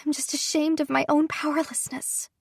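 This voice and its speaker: A woman's voice: level tone, normal speed, gravelly, no echo, clean studio sound. A woman voicing a grieving young noblewoman commander. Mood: somber. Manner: pleading, tearful high voice.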